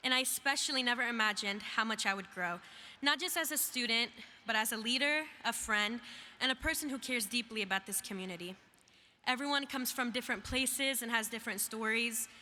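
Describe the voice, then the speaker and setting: A young woman who grew up in Iraq and speaks Arabic natively, giving a measured, emphatic speech as a student speaker at a graduation. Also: a little high, bright, forceful tone. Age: young adult. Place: large hall. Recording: reverberant hall with faint noise.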